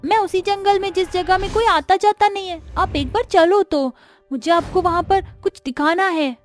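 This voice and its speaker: Woman as a very high-pitched, eager little girl. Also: moderate pace, soft background music. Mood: urgent. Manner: insistent, earnest. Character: eager, earnest young village daughter, a little girl.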